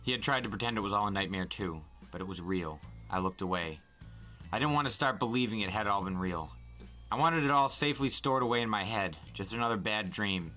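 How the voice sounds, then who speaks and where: A man with a fairly low, forceful and bright voice reads a story aloud in the first person. He delivers it as audiobook-style narration, with music playing behind him. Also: lively intonation, fairly quick. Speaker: host reading a novel aloud.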